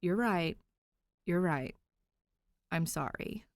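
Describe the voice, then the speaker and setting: A woman's voice: lowish voice, expressive, slow pace, no room sound, studio quality. A woman voicing a caring young male cop, worried and placating, in a fan fiction audiobook. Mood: contrite.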